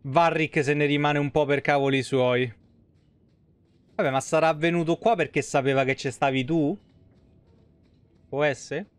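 A man in his thirties with a fairly high voice, commenting on a game as a live streamer. He is informal and slangy, recorded close and dry in a small room. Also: steady tone, a little slow, pauses often, clear, resonant tone, no room sound.

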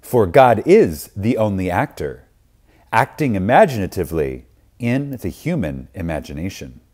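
A man reading a spiritual lecture aloud in a reverent, deliberate manner, with pauses and emphasis. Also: lowish voice, sing-song, darkish tone. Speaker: reader of a lecture.

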